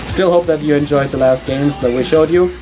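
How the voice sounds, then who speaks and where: A man's voice: fairly high pitch, brisk, music in the background, low-quality recording. A man from Germany with a German accent, casting an esports stream on his own in a small studio, chatty with many fillers and restarts. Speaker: esports caster. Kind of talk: solo esports commentary.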